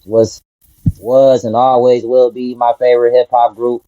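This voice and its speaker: A man's voice: mid-range voice, level tone, a little slow, dark tone, no room sound, lo-fi sound. A man from Detroit, a rapper, answering interview questions in a laid-back, earnest way. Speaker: rapper guest.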